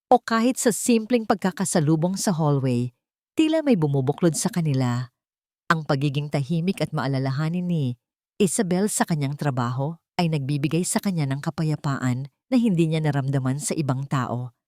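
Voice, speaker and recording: Female Filipino narrator for romantic drama audio story, slightly low register, close dry recording. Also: a little mellow, no room sound.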